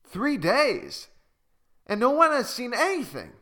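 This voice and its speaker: A man's voice: high voice, big pitch swings, a little slow, bright, forceful tone, voice pushed up, heavily acted. A man voicing a small, brave, clever male weaver bird who talks eagerly and firmly. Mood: encouraging. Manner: eager, encouraging and firm. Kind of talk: storybook reading.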